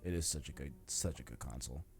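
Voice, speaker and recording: A man's voice: a bass voice, fast talker, soft background music. A man chatting informally and ramblingly as host of a gaming podcast, with slangy asides. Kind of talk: casual gaming podcast chat.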